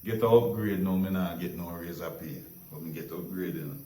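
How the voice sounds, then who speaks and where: A man's voice: deep voice, fairly quick, dark tone, echoey room, recorded from a distance. A Jamaican man hosting a casual story-sharing chat, rambling and repeating words as he searches for them. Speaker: host.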